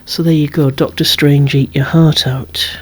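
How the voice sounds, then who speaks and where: Hushed, breathy ASMR whisperer. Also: medium pitch, little pitch movement. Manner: hushed, unhurried, musing with wry asides. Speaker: toy collector presenting a collection. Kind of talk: whispered ASMR show-and-tell.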